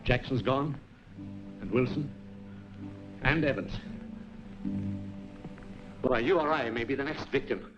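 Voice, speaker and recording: A man's voice: medium pitch, slow, pauses often, close to an everyday voice. Fretful, superstitious academic male actor, agitated serial delivery, muffled 1940s sound over music. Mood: fearful. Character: anxious, overexcited male professor fearing a curse. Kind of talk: 1940s film serial acting. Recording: dull 1940s film soundtrack.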